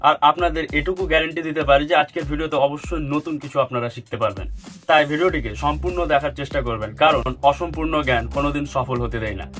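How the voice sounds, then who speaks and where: A man's voice: on the high side, fairly bright tone. A man hosting a tech channel, narrating a tutorial and addressing viewers directly as friends in an instructional way. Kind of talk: tutorial narration for an online video. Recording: music bed under the voice. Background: background music.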